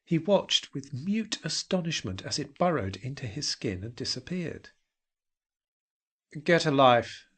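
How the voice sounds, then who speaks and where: A man narrating a scripted audio drama with intense, dramatic, deliberate phrasing. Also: on the high side, sing-song, breathy edge.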